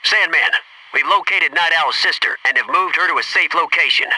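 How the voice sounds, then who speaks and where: An actor playing a gung-ho, wisecracking Navy SEAL soldier in a military video game, giving clipped tactical callouts. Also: male voice, fairly high pitch, normal speed, sharp tone. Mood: brisk, businesslike. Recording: noisy radio-style game audio, slightly distant.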